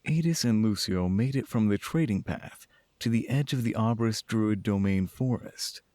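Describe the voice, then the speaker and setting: A man's voice: fairly low pitch, normal speed, mellow, no room sound. A man narrating a scripted fantasy audio drama in the third person.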